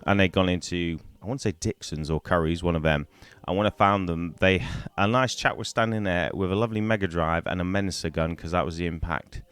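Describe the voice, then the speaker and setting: A middle-aged man from Wales, hoarse from a cold, chatting to camera in a rambling way on a poor-quality microphone. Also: sits low, fairly quick, a bit bright, no room sound. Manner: rambling, chatty, many ums and restarts. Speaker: gaming YouTuber. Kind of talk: casual to-camera storytelling.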